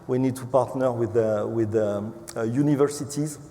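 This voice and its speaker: A man from France with a French accent speaking as an executive on a panel, halting and hesitant, often repeating words. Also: mid-range voice, mellow. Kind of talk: unscripted panel remarks. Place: large event hall. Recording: echoey hall with clear background noise.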